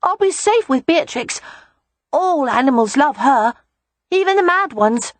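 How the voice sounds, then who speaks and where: A woman performs an eager four-year-old boy for an audiobook. The voice sits far above an adult register and moves in lively swoops. He is earnest and stubborn, coaxing one moment and complaining the next. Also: high voice, a little slow, no room sound, voice pushed up. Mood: confident. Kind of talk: audiobook character voice.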